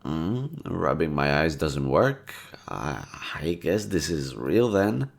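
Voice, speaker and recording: Young man, extremely deep voice, laid-back teasing audio roleplay, soft-spoken. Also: sing-song, slow, creaky. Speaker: voice actor.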